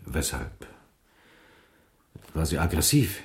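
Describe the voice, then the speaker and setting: An actor playing a terse police colleague in a radio drama, casual and businesslike. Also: male voice, very deep voice, sing-song, takes it slowly, full character voice. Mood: curious, businesslike. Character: terse male police colleague wanting a summary. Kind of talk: 1980s German radio drama acting.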